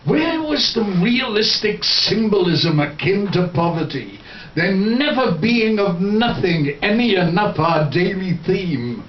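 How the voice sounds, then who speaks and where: Casting: male poet, high animated voice, theatrical and deliberate, reciting live, heard from far off in a bar. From Wales. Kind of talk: live poetry reading with spoken introduction. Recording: roomy, distant, muffled, faint noise.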